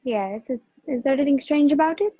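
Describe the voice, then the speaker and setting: A feisty young radio-play heroine with a high voice, acted by a woman. She is sharp-tongued but willing to help. The recording is close, dry and a little muffled. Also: fairly quick, no room sound, natural voice. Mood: puzzled. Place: video call, dry room. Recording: muffled video-call audio.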